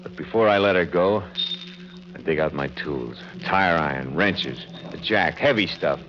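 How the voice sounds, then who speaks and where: Haunted male killer, 1940s radio horror, intense halting narration, dull recording thick with noise. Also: low-pitched. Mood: grim, haunted. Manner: melodramatic, intense, halting clipped phrases. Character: guilt-haunted, desperate murderer on the run, unraveling. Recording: noisy, dull old-time radio recording.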